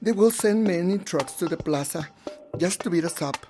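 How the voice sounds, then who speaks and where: Mellow man doing storytelling voice-over. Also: high voice, moderate pace. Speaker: English voice-over translator. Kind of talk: first-person storytelling voice-over. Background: faint music.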